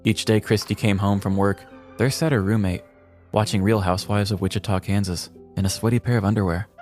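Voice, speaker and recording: A man with a low voice narrates a scripted comedy tale. He delivers it with mock drama as a podcast storyteller, over a bed of music. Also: fairly even intonation, fairly quick, a little mellow.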